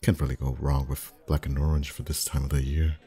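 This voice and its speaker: A man acting as a friendly festival face painter with an extremely low, mellow voice. He chats intimately in ASMR roleplay style, easygoing and gently teasing, with a flirty streak. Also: little pitch movement, brisk. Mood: chatty, easygoing. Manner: chatty, gently teasing, soft chuckles. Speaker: voice actor in an audio roleplay. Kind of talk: ASMR roleplay, one-sided intimate conversation.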